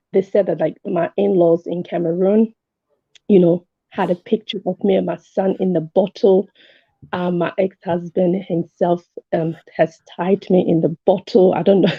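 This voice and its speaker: A woman's voice: fairly low pitch, breathy edge, no room sound. A woman calling in to a talk show, telling a personal story in a casual, conversational way and re-enacting dialogue. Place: remote call into an online show. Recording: muffled, dry call audio.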